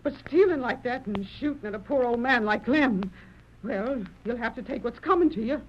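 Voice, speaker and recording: A woman's voice: fairly high pitch, normal speed, lightly acted. A woman playing an earnest, heartbroken frontier wife with an American accent, folksy and emotional in radio western style. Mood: disappointed. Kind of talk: 1940s radio western acting. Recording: muffled old radio recording.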